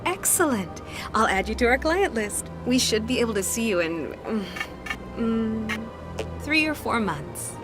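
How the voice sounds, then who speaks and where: A poised female alien acolyte from a video game, greeting clients in a fairly high voice over clear music. Her delivery is polite, measured and soothing. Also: expressive. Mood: courteous. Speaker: receptionist acolyte.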